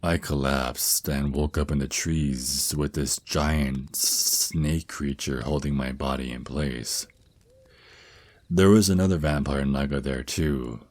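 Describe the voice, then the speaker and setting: A man's voice: very deep voice, fairly even intonation, slow, darkish tone, no echo, exaggerated voice. A man playing a tender, reassuring vampire naga mentor and boyfriend in an ASMR roleplay, speaking soothingly and affectionately. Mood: reflective.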